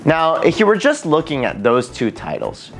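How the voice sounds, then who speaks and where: A young man who grew up speaking Japanese and English, hosting a scripted educational video with a lively, playful delivery. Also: a little high, moderate pace, faint music behind. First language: bilingual in Japanese and English. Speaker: language educator and video host.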